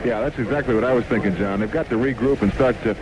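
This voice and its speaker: A man's voice: medium pitch, fast. An American man giving conversational, explanatory color commentary on a live football radio broadcast. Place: broadcast booth at an outdoor stadium. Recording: noisy 1980s stadium radio broadcast.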